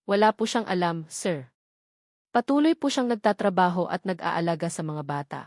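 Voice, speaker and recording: A woman's voice: on the low side, moderate pace, a little mellow, dry recording, clean studio sound, natural voice. A woman with a Filipino accent voicing a respectful assistant who reports to a powerful boss in a story. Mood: dutiful. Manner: polite, respectful.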